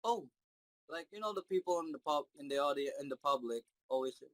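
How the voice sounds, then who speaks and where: A young man, answering interview questions in a shy, halting way with many fillers. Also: fairly high pitch, expressive, a bit bright, dry recording. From Philippines. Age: 20s. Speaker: interview guest, singer.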